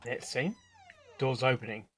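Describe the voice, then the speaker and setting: A man's voice: mid-range voice, steady tone, unhurried. A man giving casual, off-the-cuff reaction commentary as host, over an audio drama. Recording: noisy, with chatter and sound behind. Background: audio drama playing.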